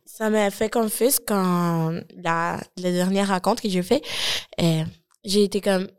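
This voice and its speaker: A woman's voice: mid-range voice, fairly even intonation, slow, clean tone. Hesitant woman. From Colombia, Caribbean coast. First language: Spanish. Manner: hesitant, searching for words, many fillers. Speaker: discussion participant. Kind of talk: casual conversational answer.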